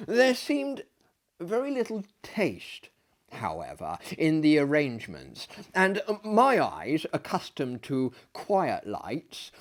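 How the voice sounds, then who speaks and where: A man in his thirties giving a theatrical literary reading in a hammy, mannered way, with deliberate phrasing and dramatic swells and drops. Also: on the high side, a little mellow. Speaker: dramatic reader and storyteller. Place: small book-lined room indoors, seated in an armchair.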